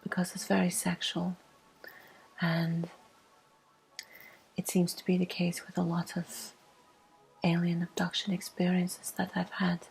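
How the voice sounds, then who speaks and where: Breathy whispering woman, very slow ASMR storytelling. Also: fairly low pitch, monotone, faint music behind, low-quality recording. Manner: slow, hushed, pausing. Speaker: ASMR video host. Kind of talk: soft-spoken ASMR personal storytelling.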